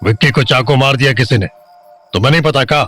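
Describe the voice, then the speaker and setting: A man's voice: medium pitch, quick pace, fairly bright tone, slightly rough, faint music behind. A man playing a weary, blunt, middle-aged small-town father in an audio drama. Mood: gruff.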